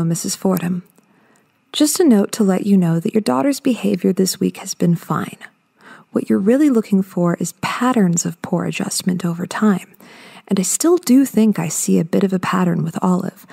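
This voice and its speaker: Female actor as cautious schoolteacher, heavy fry, husky, measured, polite, matter-of-fact letter reading, audio drama. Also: mid-range voice, warm tone. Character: measured, cautious female teacher of young children.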